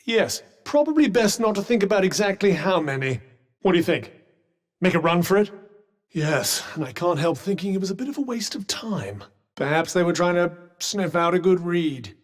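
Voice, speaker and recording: A dry, teasing companion. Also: male voice, high voice, very animated, normal speed. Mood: wry. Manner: dry, teasing, mock-polite. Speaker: voice actor. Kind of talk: video game character voice lines.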